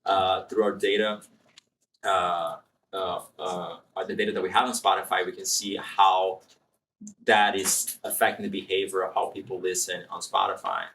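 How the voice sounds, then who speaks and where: A low, deep man answers interview questions in a relaxed, explanatory way, thinking aloud as he goes. His voice is bright and forceful despite its depth. He sounds distant from the mic, with a faint hiss in the room. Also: moderate pace. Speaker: marketing executive guest. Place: room with a live student audience.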